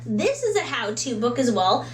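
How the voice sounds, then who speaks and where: High-voiced woman chatting in an echoey room. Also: huge pitch swings, moderate pace. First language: English. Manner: conversational, acts out little imagined quotes and asides. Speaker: book reviewer giving recommendations. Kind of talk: casual, chatty video book recommendations. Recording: roomy echo with faint background hum.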